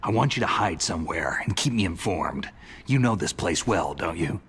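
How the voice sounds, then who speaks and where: An actor with an American accent playing a gruff, hardened soldier in a video game, curt and deadpan, with a low growl. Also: male voice, moderate pace, full character voice. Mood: low, guarded. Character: gruff, terse, hardened male soldier on a solo mission. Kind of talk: dramatic video game voice acting.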